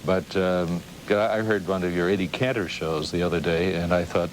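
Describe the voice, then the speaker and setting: Low-voiced man hosting, over noisy audio. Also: fairly even intonation, normal speed. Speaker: TV interview host. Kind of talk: conversational interview. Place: television studio. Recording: noisy old TV broadcast recording.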